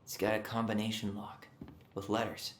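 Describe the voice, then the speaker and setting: An actor as a laid-back young American man in a video game cutscene. His voice is a bit low, with a wry, natural way of talking. Background noise and ambience sit behind him. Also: flat delivery, moderate pace, lightly acted. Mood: focused, teasing. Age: young adult.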